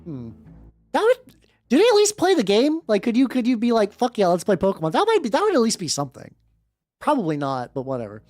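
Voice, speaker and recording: A livestreamer with a mid-pitched voice talks in a rambling, sardonic and theatrical manner, drifting between casual chat and comic read-aloud. The microphone is close and very dry, with faint music playing behind. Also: huge pitch swings, brisk, a little mellow, dry recording. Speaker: livestreamer, VTuber. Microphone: close dry streaming mic. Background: faint background music.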